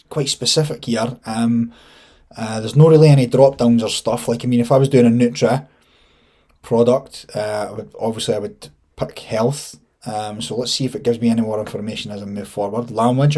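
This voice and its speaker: A Scottish man in his thirties giving a casual screen-share tutorial, thinking aloud informally with a slightly husky voice. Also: medium pitch, steady tone, moderate pace, dark tone. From Scotland. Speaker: online marketing instructor.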